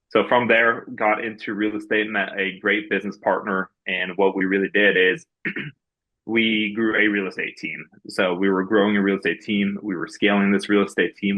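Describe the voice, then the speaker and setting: Low-voiced guy from norway, podcast guest, rambly with ums, kinda muffled audio. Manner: many fillers and restarts, earnest storytelling. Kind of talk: podcast interview.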